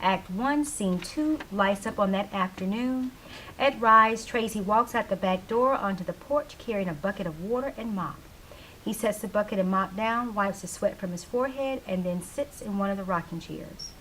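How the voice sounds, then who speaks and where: A woman's voice: mid-range voice, normal speed, a bit bright, low-quality recording. Woman narrating stage directions, faint hiss. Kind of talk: scripted narration.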